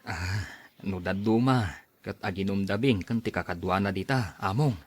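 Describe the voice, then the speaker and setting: A man's voice: low-pitched, normal speed, a little hiss or hum, lightly acted. A man playing a humble, dutiful plantation worker in an audio drama, mild and hesitant in manner.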